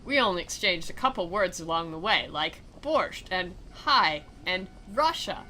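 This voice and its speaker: A woman's voice: on the low side, lively intonation, measured pace, pushed and bright, slight background noise. A woman doing a playful, theatrical read-aloud of a visual novel, mixed with sarcastic commentary. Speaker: game commentator and dramatic reader. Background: music.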